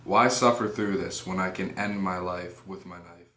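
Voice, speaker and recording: A man's voice: low-pitched, steady tone, normal speed, pushed and bright. A man voicing a troubled prince's grave, reflective thoughts in a scripted plain-English reading. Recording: echoey room with background noise.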